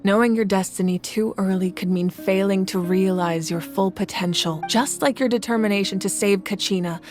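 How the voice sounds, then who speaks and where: Game role: composed, reassuring female sovereign, played by a woman. Mid-range, formal, measured, earnest delivery over music. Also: mid-range voice, fairly even intonation, a little mellow, lightly acted. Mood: calm, earnest. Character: composed, noble adult female fire ruler, wise and reassuring. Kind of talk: scripted video game voice acting. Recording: music behind the voice.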